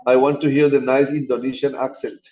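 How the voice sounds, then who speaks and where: A man giving a guest lecture over a video call in a relaxed, chatty teaching manner. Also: mid-range voice, a little fast, lo-fi sound. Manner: casual, chatty teaching, addresses students as guys. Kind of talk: informal online lecture.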